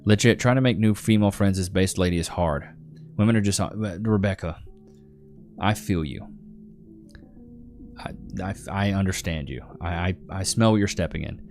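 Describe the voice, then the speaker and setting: Man, livestream host, deep-ish relaxed chatter, soft guitar music behind. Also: moderate pace, long pauses. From Texas. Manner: laid-back, calls viewers bro and brother. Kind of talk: casual livestream chat reading comments.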